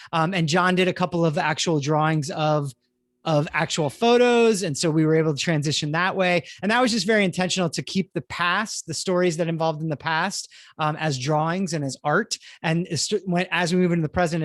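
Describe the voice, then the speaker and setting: A man's voice: sits high, no echo, clean studio sound. A man moderating a live theatre panel, explaining things with enthusiasm.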